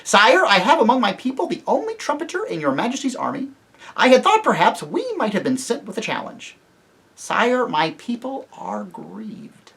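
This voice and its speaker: A storybook narrator voicing a small, proud mouse knight with a shrill voice and courtly, formal manner. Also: huge pitch swings, moderate pace, creaky, fairly bright tone, husky, heavily acted. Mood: proud, pleading.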